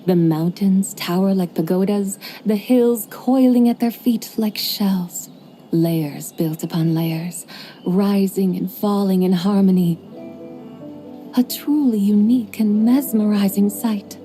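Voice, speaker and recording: Casting note: a woman as a stern immortal horse goddess, mellow and dark-toned, lofty and formal, with faint music behind. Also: mid-range voice, slow pace, natural voice. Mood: musing. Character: proud ancient female horse spirit, stern goddess of farming. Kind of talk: video game voice acting. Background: game soundtrack music.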